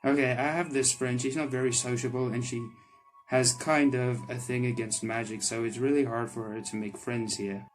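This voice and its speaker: A man's voice: mid-range voice, steady tone, moderate pace, natural voice, low-quality recording. A man voicing a flustered, kind-hearted young male magician from a game, hesitant and apologetic, with music behind. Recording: background music under the voice.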